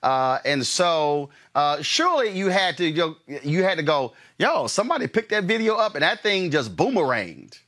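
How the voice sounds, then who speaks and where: A man hosting an interview, talking in a halting way with many fillers and repeated words. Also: a little high, sing-song, a bit bright. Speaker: interview host. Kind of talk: interview, casual chat.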